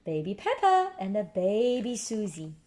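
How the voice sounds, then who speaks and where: A woman's voice: mid-range voice, very animated, measured pace, mellow. A woman reading a children's story aloud, explaining things simply as if to a young child. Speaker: storybook reader.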